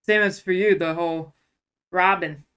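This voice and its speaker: A woman's voice: on the low side, fairly even intonation, normal speed, a bit bright, clear, resonant tone. A woman hosting a casual chat, retelling moments by acting out what was said. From USA. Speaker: host.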